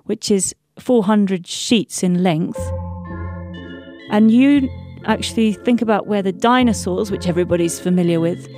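A woman paleontologist speaks in an interview, explaining ideas thoughtfully. Her voice sits at a middle pitch. Faint music plays underneath. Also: unhurried, warm tone. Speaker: paleontologist guest.

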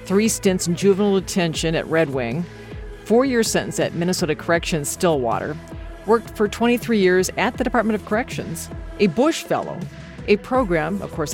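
An American woman hosting a radio series, moving between scripted introduction and conversational questions with an emphatic, expressive delivery. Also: on the low side.

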